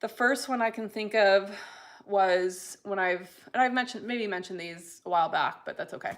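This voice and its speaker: An American woman talks through a live stream in an easygoing, unhurried way. She reads comments out loud and answers them with plenty of ums and loose asides. Her voice sits at an ordinary middle pitch. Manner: relaxed, unhurried, many fillers; reads viewer comments aloud. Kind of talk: casual live-stream chat.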